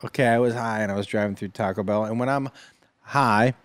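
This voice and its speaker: A man's voice: brisk, dry recording. A man chatting casually and telling funny stories, often acting out other people's lines. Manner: animated, jumps into high voices acting out stories. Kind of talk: casual storytelling chat.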